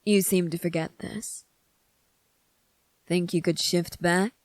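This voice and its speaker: Woman as a low, slow-talking sly young prince. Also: fairly even intonation, dry recording. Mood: smug, teasing. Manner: deliberate, teasing, smug. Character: sly, teasing young prince, male, calmly commanding. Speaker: voice actor. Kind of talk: scripted ASMR roleplay monologue.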